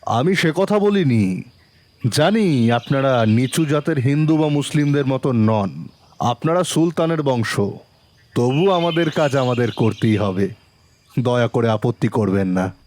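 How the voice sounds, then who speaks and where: A man playing a composed, probing police inspector who narrates his case in a dramatic, deliberate audio-drama style. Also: medium pitch, animated, a little mellow, slight background noise, close to an everyday voice. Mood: firm, questioning. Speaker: narrator and lead actor in an audio story. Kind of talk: dramatic first-person detective story narration. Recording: long reverb, music underneath.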